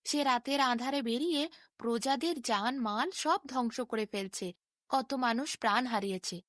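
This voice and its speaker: Woman voicing an earnest, pleading fairy-tale king, high-pitched. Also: normal speed, fairly bright tone, no room sound, studio quality, lightly acted.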